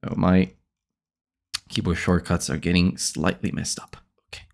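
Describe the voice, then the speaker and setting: A man who hosts a podcast, thinking aloud in a casual, halting way as he edits, often trailing off into quiet mutters. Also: low voice, flat delivery, creaky. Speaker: podcast host editing his episode.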